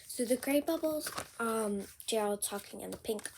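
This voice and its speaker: High-voiced young girl hosting a read-aloud. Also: moderate pace, creaky, noisy background. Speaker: channel host. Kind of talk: casual read-aloud vlog.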